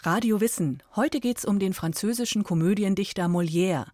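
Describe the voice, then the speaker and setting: A woman introducing a radio podcast episode in a clear, scripted announcing manner. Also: mid-range voice, normal speed, warm tone, no room sound, clean studio sound, faint music behind. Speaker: podcast host.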